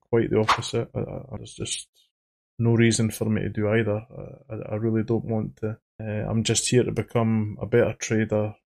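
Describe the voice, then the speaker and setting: A man with an Edinburgh Scottish accent, hosting a trading channel in a faceless voice-over, talking haltingly and repeating his words. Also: lowish voice. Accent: Edinburgh Scottish, toned down. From Scotland. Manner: halting, repeats words, says he sounds monotone. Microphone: studio microphone.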